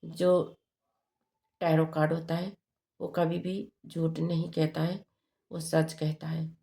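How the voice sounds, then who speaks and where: A woman reading tarot cards to camera, hoarse from a sore throat, speaking haltingly with many fillers. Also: sits low, level tone, mellow. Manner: slow, halting, many fillers and tag questions. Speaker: tarot reader.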